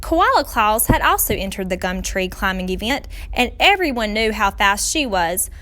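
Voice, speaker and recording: A woman reading a children's storybook aloud like a teacher, with expressive, deliberate, dramatic phrasing for young listeners. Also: mid-range voice.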